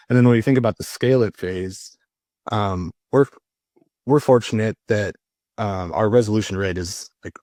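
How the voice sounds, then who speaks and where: A man speaking as a guest in an unscripted panel discussion, explaining his work in a measured way and repeating words as he goes. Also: low voice, darkish tone, dry recording, studio quality. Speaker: customer support leader, panel guest.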